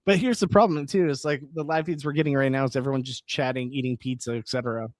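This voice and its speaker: A man at a middle pitch hosts a late-night live stream, rambling casually to his viewers and reading their comments aloud. His voice is wearing hoarse after hours of talking. The sound is dry and close, with no room around it. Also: steady tone, quick pace, dry recording. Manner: rambling, reads chat aloud, restarts words. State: voice going hoarse.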